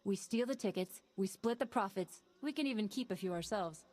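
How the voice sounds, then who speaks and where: Woman playing a worn-out smuggler pilot lady, brisk and businesslike, mid-pitched. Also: darkish tone, faint music behind, natural voice. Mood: scheming. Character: weary female ex-smuggler pilot.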